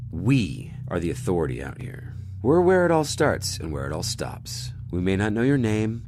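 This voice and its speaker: A man acting as a stern starship captain conducting an interrogation in a sci-fi audio drama. His low voice swings with emphasis, clipped and authoritative, over faint ship noise. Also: darkish tone. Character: stern male spaceship captain, commanding, controlled interrogator.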